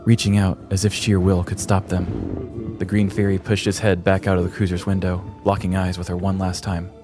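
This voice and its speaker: Low-voiced man narrating dramatically. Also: level tone, normal speed, music in the background. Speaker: podcast host. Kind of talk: scripted comic true-crime narration.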